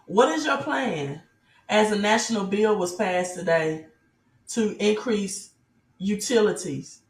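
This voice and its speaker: A woman giving an off-the-cuff motivational sales pitch as a business promoter, emphatic, repeating key phrases and asking rhetorical questions. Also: lively intonation, slow pace, echoey room. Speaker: business promoter and team leader giving an online presentation.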